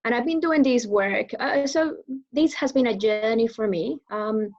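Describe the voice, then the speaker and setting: Woman from Venezuela, Spanish-accented English, slightly high voice, halting interview answers with lots of fillers, muffled audio. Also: a little mellow. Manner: hesitant, many fillers and restarts. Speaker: guest speaker.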